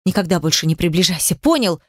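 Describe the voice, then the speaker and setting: A woman's voice: lowish voice, lively intonation, fast, darkish tone, no room sound, studio quality. A woman voicing an embittered, hysterical young mother in an audiobook drama, with breathless, tearful outbursts. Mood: hateful, tearful.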